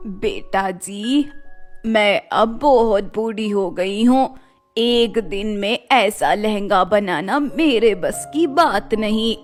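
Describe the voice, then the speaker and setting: A woman voicing a very old, kindly cartoon sorceress granny who speaks calmly and gently. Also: mid-range voice, soft background music, voice pushed down, natural voice. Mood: calm, weary. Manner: calm, gentle, unhurried. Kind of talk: cartoon fairy-tale storytelling.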